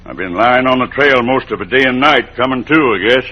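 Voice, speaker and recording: An actor playing an easygoing, plain-talking cowboy in an old western radio drama, with a husky voice and a folksy drawl. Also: male voice, medium pitch, a little fast, lightly acted. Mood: unruffled, protesting. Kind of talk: 1940s-50s western radio acting. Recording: dull old radio recording.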